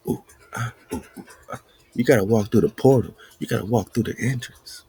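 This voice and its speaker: A man's voice: mid-range voice, moderate pace, vocal fry, warm tone, husky. A man hosting a podcast, giving an emphatic, chanting, preaching-style teaching monologue, laughing often. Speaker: podcast host.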